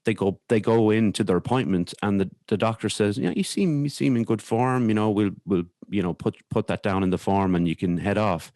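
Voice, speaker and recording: An Irish man speaking candidly as a podcast guest, in a hesitant, halting way with repeated words. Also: fairly low pitch, a little mellow, no room sound, studio quality. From Ireland. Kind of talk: candid conversational interview.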